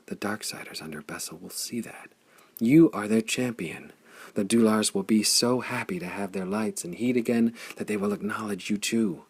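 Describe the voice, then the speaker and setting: A man reading the part of a tender, devoted young woman in an audiobook, speaking in soft, hushed tones. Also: on the low side, moderate pace, husky. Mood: dreamy. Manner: soft, hushed whispering delivery. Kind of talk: audiobook character voice.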